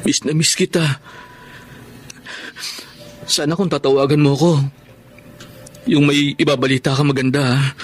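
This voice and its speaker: A man's voice: a little high, slightly rough. An actor playing a heartbroken, earnest young man in a radio drama, speaking with emotional yearning. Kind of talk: Tagalog radio drama acting.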